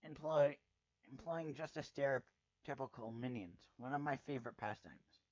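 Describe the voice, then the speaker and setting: A man's voice: medium pitch, normal speed, darkish tone, no room sound, muffled recording. A man reading a first-person story dramatically, in a theatrical, sardonic manner with the odd stumble over words. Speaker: amateur fanfiction reader.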